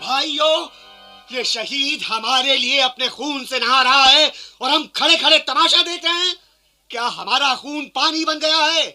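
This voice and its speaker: An actor playing an indignant, patriotic Indian sepoy in a radio play, declaiming with passion. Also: male voice, very high-pitched, a little slow, sharp tone, soft background music, heavily acted. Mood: rousing, furious. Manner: impassioned, declamatory.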